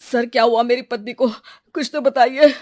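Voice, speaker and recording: Woman doing a lower-pitched, anxious husband. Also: fairly even intonation, normal speed, lightly acted. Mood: anxious, agitated. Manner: hesitant, agitated. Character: anxious adult son and husband in a family drama.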